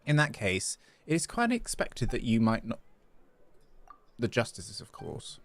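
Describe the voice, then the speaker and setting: A man's voice: medium pitch, wildly swooping pitch, a bit bright, heavily acted. Man playing a theatrical, florid shopkeeper. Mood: haughty, teasing. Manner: ornate, drawn-out, theatrical. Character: florid, theatrical shopkeeper, grandly courteous and teasing.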